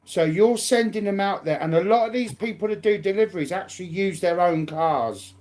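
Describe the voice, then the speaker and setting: A man's voice: high-pitched, a little fast, a little mellow. A man speaking informally and without a script, arguing in a blunt, indignant and emphatic way. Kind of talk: unscripted, informal argument.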